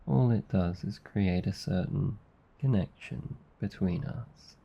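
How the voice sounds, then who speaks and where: A man with an Australian accent playing a gentle, affectionate, protective demon in an intimate, soothing ASMR roleplay. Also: low-pitched, gravelly, breathy edge, dull audio, exaggerated voice. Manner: soft, intimate, soothing, teasing. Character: gentle, affectionate male sleep paralysis demon, protective comforter.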